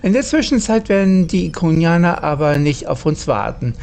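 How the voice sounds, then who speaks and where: A woman's voice: sits low, expressive, a little fast, vocal fry, a little mellow. A German woman playing a game and reading its dialogue aloud in character.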